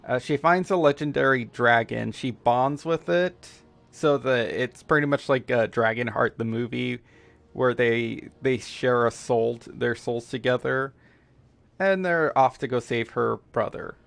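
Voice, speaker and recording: A man from the United States whose first language is Spanish, giving halting, filler-heavy casual commentary while streaming a game playthrough. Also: mid-range voice, vocal fry, a bit bright, no echo. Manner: halting, full of fillers and restarts. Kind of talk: casual let's-play commentary.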